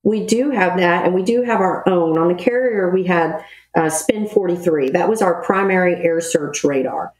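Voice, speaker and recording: A woman's voice: lowish voice, normal speed, a little mellow. A middle-aged woman explaining procedures in an interview, matter-of-fact, as a retired military officer would. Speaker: podcast guest, retired Navy air traffic control officer. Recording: roomy, echoey voice recording.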